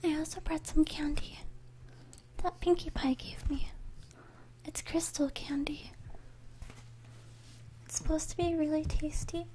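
A young woman voicing a timid, gentle female pony in a shy, hesitant whisper, close to a studio mic for ASMR role play. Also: sits high, little pitch movement, measured pace, long pauses. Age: young adult. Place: small room at home. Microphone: studio mic close to the mouth.

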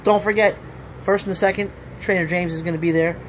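A man's voice: high-pitched, fairly even intonation, fairly quick, a little hiss or hum, rough recording. A man, vlogging casually outdoors on a phone held at arm's length, rambling with lots of fillers and "okay?". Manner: rambling, filler-heavy, keeps saying okay. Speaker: trucker vlogger. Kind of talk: casual vlog promo. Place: outdoors under a building awning. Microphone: phone camera at arm's length.

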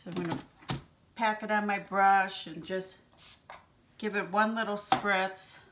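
A woman in her fifties hosting a makeup tutorial from a small room at home, explaining each step in a gentle, chatty way and clearing her throat now and then from allergies. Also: medium pitch, steady tone, measured pace, background noise. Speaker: beauty channel host.

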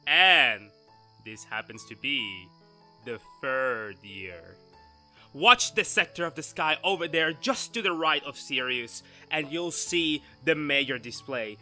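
A man acting an excitable, lecturing amateur astronomer in a theatrical, grandiose 1930s radio drama style. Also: mid-range voice, takes it slowly, faint music behind, exaggerated voice. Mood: enthusiastic lecturing.

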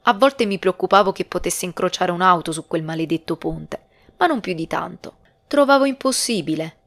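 A woman's voice: fairly low pitch, gravelly, fairly bright tone. A woman reading a novel aloud as scripted audiobook narration. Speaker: audiobook reader.

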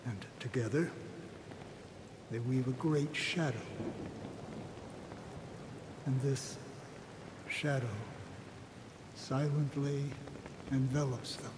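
An actor voicing a grave, cryptic man in a video game, speaking solemnly and deliberately with pauses. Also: mid-range voice, fairly even intonation, noisy background, natural voice. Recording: long reverb with rain and thunder.

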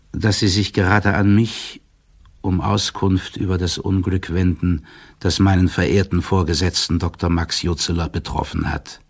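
A man playing a fussy, deferential court clerk, reading out a formal report in measured tones in an audio drama. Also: low voice, vocal fry, full character voice. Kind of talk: audio drama, letter read as monologue.

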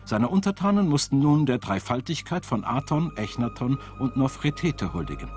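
A man reads scripted documentary narration in a measured, articulate, storytelling way. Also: medium pitch, big pitch swings. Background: background music.

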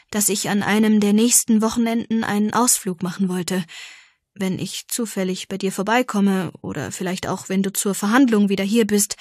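A woman voicing an easygoing middle-aged male policeman in an audiobook, talking in a relaxed, gently teasing and tentative way. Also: mid-range voice, slightly rough, no echo, clean studio sound.

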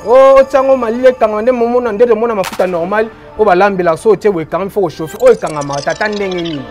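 A man with a notably high voice, a healer from Boma in Congo, explains his remedies in an interview. He repeats his phrases emphatically and lets them trail off quietly. Faint music plays underneath. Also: rapid-fire. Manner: repetitive, emphatic, trailing off low. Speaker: traditional healer.

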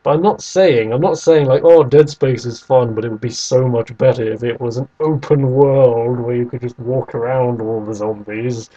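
A man's voice: medium pitch, fairly even intonation, moderate pace, hardly any pauses, mellow, highs cut off. A man co-hosting a podcast, casually arguing about video games and restating his points over an internet call. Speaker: podcast co-host.

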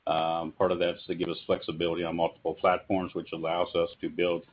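A man's voice: deep voice, monotone, fairly quick. A man, a company executive, giving a formal business explanation on an earnings call. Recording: dull band-limited conference call.

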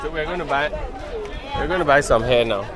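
A man's voice: medium pitch, brisk. A man in his forties touring an outdoor market on camera, chatting and asking questions as he walks. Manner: chatty, curious, asks lots of questions. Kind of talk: casual walking vlog narration. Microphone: handheld camera at arm's length. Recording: loud market crowd behind.

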